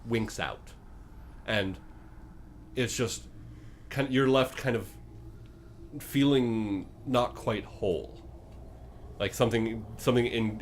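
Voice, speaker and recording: A man working as game master, improvising descriptive narration for a tabletop game in a halting way. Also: lowish voice, slight background noise.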